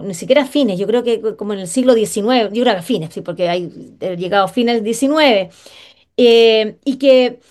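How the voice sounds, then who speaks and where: Host: high-pitched woman from Chile, halting and searching for her words. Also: darkish tone. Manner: hesitant, many fillers and restarts. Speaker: radio show host. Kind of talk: conversational interview.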